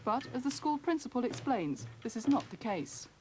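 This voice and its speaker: A woman's voice: a little high, very animated, normal speed, a little mellow. A British woman reading scripted documentary narration as a news reporter. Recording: faintly noisy archive newsreel.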